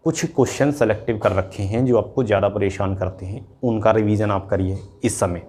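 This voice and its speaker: A man's voice: mid-range voice, mellow. A man giving informal instructional advice as an exam coach, explaining step by step and often checking in with short tag words. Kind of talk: informal instructional talk to students.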